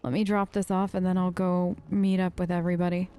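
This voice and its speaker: A woman's voice: on the low side, level tone, brisk, dark tone, slight background noise. A young woman hosting a role-play let's play who talks eagerly and hesitantly. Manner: hesitant, enthusiastic.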